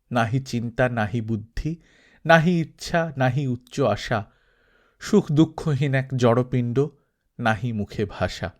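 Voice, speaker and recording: A man's voice: mid-range voice, darkish tone. Shy, earnest college boy, read by a man. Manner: hesitant, polite, earnest. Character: shy, earnest, admiring teenage male college student. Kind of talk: audiobook character reading.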